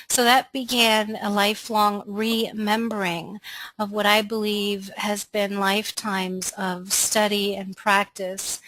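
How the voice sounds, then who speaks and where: A middle-aged American woman from Los Angeles hosting a class, introducing herself informally in a reflective, conversational way with pauses to gather her thoughts. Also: medium pitch, fairly bright tone, slight background noise, poor audio. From Los Angeles, United States. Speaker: webinar host and astrology course facilitator.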